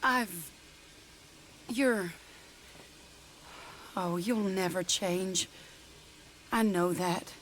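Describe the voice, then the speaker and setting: A woman's voice: fairly low pitch, extremely slow, long pauses, a bit bright, a little hiss or hum, poor audio. An actress playing a wistful, tender woman, an old sweetheart, in a video game cutscene.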